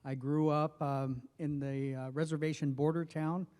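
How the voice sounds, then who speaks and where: A man in his forties from northwestern New Mexico, a health official speaking softly at a formal event, with many pauses and fillers. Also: a little high, little pitch movement. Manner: soft-spoken, many hesitations and fillers. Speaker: federal health official giving a speech. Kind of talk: personal remarks at a formal event. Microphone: podium microphone. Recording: long reverb of a large hall.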